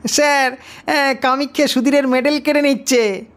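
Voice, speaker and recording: A schoolboy, as a male storyteller puts him on pipes up in class in a raised, high voice. He is eager and a little defensive, complaining like a pupil explaining himself to his teacher in an audio story. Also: steady tone, normal speed, fairly bright tone. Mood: tattling. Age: child.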